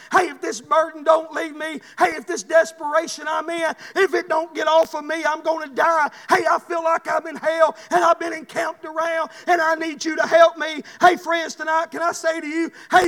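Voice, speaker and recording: A man's voice: very high-pitched, pushed and bright. A man with a Southern US accent preaching a fervent, folksy evangelical sermon, exhorting a congregation in punchy phrases. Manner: exhortatory, folksy, repeatedly punctuating phrases with "hey". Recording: echoey hall with long reverb.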